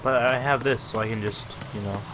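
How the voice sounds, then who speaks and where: A man's voice: fairly low pitch, steady tone, a little fast, background noise. A man giving casual, wry game commentary in an offhand, muttering way as he plays. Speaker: let's player. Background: game music and sounds.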